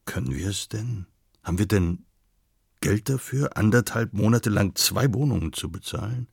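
A man reading the part of a weary, tender young husband short of money in a novel, resigned and gentle. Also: on the low side, expressive, moderate pace, gravelly, husky, no room sound, clean studio sound, heavily acted. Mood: worried.

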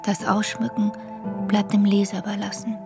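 An actress performing a calm, grave female voice that speaks sparse poetic aphorisms, evenly and unhurriedly, in a radio play. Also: mid-range voice, gravelly, over music, lightly acted. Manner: even, unhurried, sparse phrasing.

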